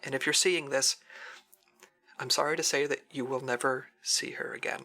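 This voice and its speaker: An actor playing an earnest secret agent who records a solemn, dramatic farewell message in an audio drama. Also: male voice, mid-range voice, fairly even intonation, normal speed, sharp tone. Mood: solemn, heartfelt. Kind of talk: audio drama acting, scripted monologue.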